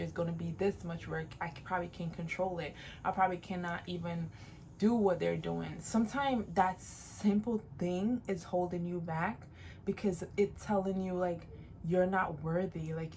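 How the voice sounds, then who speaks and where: A woman in her late twenties, originally from Jamaica, talks to her viewers in a relaxed, encouraging way. She leans on repeated phrases to drive her points home, in a somewhat low voice. A faint hiss sits under the recording. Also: moderate pace. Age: late 20s. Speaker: vlogger. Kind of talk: casual advice vlog.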